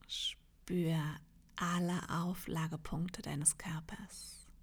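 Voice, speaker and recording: A woman leading a guided meditation in a soft, half-whispered, soothing voice, leaving long pauses between phrases. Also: fairly low pitch, level tone, husky. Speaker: yoga nidra meditation guide.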